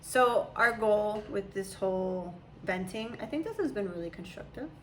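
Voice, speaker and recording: Rambling, laughing young woman in a casual chat with her daughter, talking in a middle-pitched voice. There is a faint hiss of room noise behind her. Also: expressive, normal speed. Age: young adult. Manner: chatty, rambling, laughs often. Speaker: mother.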